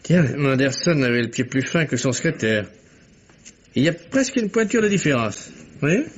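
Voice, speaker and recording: An actor playing a curious, genial amateur detective who muses aloud in a mid-century French radio mystery. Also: male voice, on the high side, big pitch swings, moderate pace. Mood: inquisitive. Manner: musing, chatty, punctuated with hums and repeated words. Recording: dull, noisy old radio recording.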